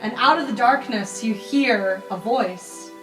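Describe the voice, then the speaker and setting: A woman's voice: fairly high pitch, measured pace. A woman narrating an improvised tabletop game as game master, in an echoey recording. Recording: echoey, distant from the mic. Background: music.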